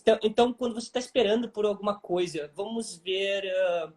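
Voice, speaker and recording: A man's voice: sits high, fast talker, a little mellow. A man from Brazil with a Brazilian Portuguese accent, hosting a bilingual English lesson with many hesitant fillers. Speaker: English teacher and host.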